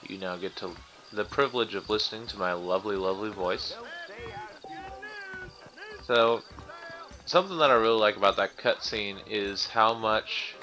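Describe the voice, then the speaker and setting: A man with a fairly low voice talks casually over a game he is playing. He speaks to his viewers in an informal let's-play style. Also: pushed and bright. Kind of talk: casual gameplay commentary.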